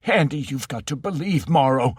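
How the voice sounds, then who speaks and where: A man's voice: sing-song, very slow, fairly bright tone, breathy edge, dry recording, studio quality, full character voice. A man voicing a frail, frightened elderly male scientist with a faint, quavering voice. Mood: hysterical pleading. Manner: high, pleading, near-hysterical.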